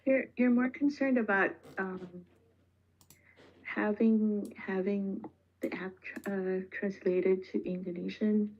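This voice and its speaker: Creaky, slow-talking woman on a muffled call. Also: mid-range voice, fairly even intonation. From Philippines. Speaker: guest, software developer. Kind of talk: casual chat. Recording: dull lo-fi call audio.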